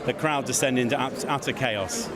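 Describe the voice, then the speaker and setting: A man's voice: medium pitch, moderate pace. A man being interviewed as an event producer, effusive and a bit hesitant, in casual chat at a busy venue. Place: theatre foyer before an event. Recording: crowd noise at busy venue. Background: crowd chatter.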